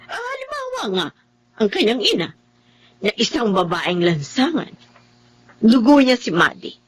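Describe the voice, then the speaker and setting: Husky, earnest Filipino family elder. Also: female voice, on the high side, very animated, measured pace, heavily acted. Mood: worried, regretful. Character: Helen, earnest, regretful older relative of a troubled family. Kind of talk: Filipino drama acting.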